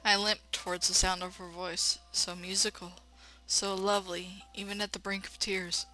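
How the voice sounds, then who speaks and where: Female let's player, bright tone, expressive narration with playful, blunt running commentary. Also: mid-range voice, moderate pace, soft background music, low-quality recording. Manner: expressive narration broken by blunt sassy asides. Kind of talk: reading a visual novel aloud with playful running commentary.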